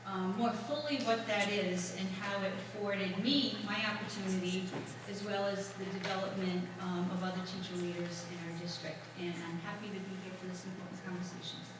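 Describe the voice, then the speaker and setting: A woman school superintendent introducing herself on a panel in a measured, plain way. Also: mid-range voice, steady tone, noisy background. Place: school hall. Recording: echoey room, distant mic.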